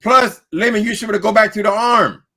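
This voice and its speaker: A man's voice: sits high, moderate pace, sharp tone. A man in his sixties hosting a casual panel chat, blunt and teasing with wisecracks. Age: 60s.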